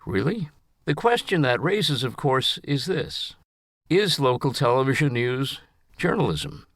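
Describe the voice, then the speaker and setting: A man's voice: medium pitch, animated, a little slow, a bit bright, dry recording, studio quality. An older man reading his own personal story in a scripted narration style.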